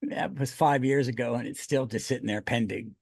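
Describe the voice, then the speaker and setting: Gravelly man in casual chat. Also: medium pitch, fairly quick, a little mellow, no room sound. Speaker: podcast guest.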